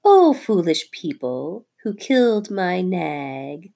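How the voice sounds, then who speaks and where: A woman voicing a cruel female cobra, speaking in a slow, hissing half-whisper. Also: fairly low pitch, big pitch swings, gravelly, dark tone, exaggerated voice. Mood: menacing.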